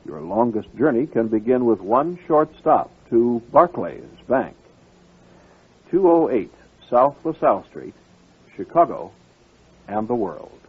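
A man's voice: medium pitch, very animated, a little slow, slightly rough. A man working as a radio station announcer, reading scripted ads and programme introductions. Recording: narrow, phone-like radio sound.

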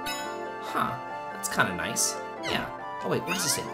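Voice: mid-range voice, sing-song, a little slow. A chatty, playful let's play host giving casual, exclamatory commentary on a video game. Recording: game music behind the voice. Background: video game music.

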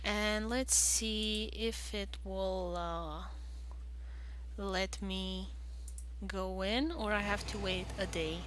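A woman's voice: mid-range voice, steady tone, very slow, a bit bright, slight background noise, poor audio. A woman giving casual game walkthrough commentary, thinking aloud in a hesitant way. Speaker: gaming video host.

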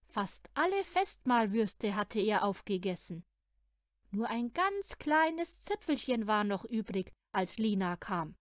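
A woman's voice: medium pitch, sing-song, takes it slowly, no echo, studio quality. A woman narrating a children's story from a script, expressively and as if speaking to a young listener. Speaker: audiobook reader.

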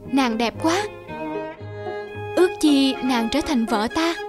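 A woman voicing a kind, gentle young man in a cartoon fairy tale, speaking tenderly. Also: high voice, takes it slowly. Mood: smitten. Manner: tender, caring. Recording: music behind the voice.

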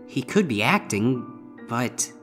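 A man's voice: medium pitch, very animated, slow pace, a bit bright, soft background music, pitched above the performer's own voice. A man voicing a young male griffin student in a fan fiction reading, uneasy, hesitant and grumbling. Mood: anxious, puzzled. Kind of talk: fan fiction audiobook character voice.

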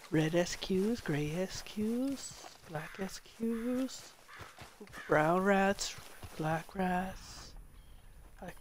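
Laid-back streamer muttering thru a game, slow talker, keeps giggling, voice getting hoarse. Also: mid-range voice, expressive, slight background noise. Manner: talks to self, laughs often, self-correcting. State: voice going hoarse. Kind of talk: casual live gameplay commentary.

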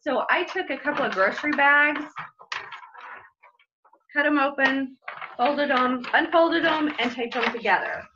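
A woman's voice: sits high, unhurried, long pauses, dull audio, background noise. A middle-aged woman hosting a children's story time, giving craft instructions to camera at home. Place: small bright room at home, indoors.

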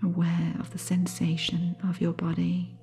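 A woman guiding a sleep meditation in a soothing, gentle, unhurried way, with a soft, breathy, half-whispered voice. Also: lowish voice, monotone, faint music behind. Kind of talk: guided sleep hypnosis meditation, scripted.

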